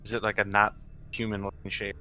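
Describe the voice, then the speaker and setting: Quick, bright-voiced man. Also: fairly low pitch, completely flat delivery, smooth. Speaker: player in a tabletop game. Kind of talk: casual game-table chat. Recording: faint background noise.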